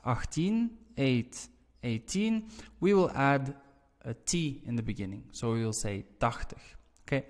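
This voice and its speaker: A man's voice: medium pitch, big-room sound. A Belgian man with a Flemish accent giving a patient, step-by-step online language lesson. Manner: slow, patient, repeats and explains step by step. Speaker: language teacher.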